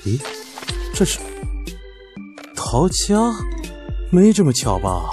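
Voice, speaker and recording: A man's voice: mid-range voice, big pitch swings, takes it slowly. A man playing a cocky, offhand young planning manager in an audio drama, cool, curt and self-assured. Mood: smug. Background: background music.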